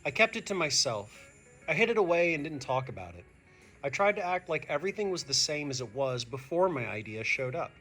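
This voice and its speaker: A man who is a teacher reading a picture book aloud to young students, expressive and speaking to them directly. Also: a little high, a little fast, faint music behind. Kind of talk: animated children's read-aloud.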